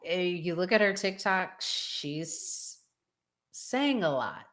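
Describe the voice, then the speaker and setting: A woman with a deep voice hosts a casual discussion. She speaks slowly and deliberately, with very lively swings in pitch as she steers the conversation. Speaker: talk show host.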